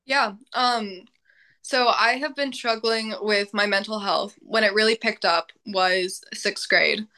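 A young woman talks casually as a podcast guest, leaning on fillers like "like" and "um". Her voice is bright and forward. She laughs often as she talks. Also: medium pitch, fairly even intonation, slow. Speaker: podcast guest, mental health advocate. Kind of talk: casual interview chat.

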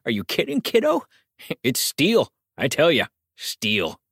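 Man as genial male talent agent, pushy wheedling patter, very animated pitch, dry studio sound, audiobook character. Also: a little slow, husky, dry recording, exaggerated voice. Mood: indignant, insistent. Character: skinny, genial, eager fast-talking male Chicago talent agent. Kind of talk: dramatized audiobook reading.

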